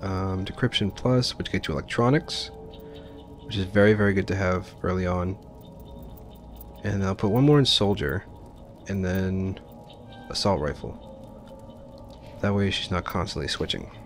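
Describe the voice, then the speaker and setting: Casting: man, lowish pitch, informal gaming commentator who explains as he plays, music in the background. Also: moderate pace, long pauses. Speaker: let's play host. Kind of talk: casual gameplay commentary.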